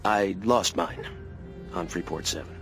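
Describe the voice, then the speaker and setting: An actor playing a laconic, world-weary freelance pilot in a video game, speaking in a low-key way. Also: male voice, a little slow, a bit bright, soft background music. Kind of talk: video game cutscene acting.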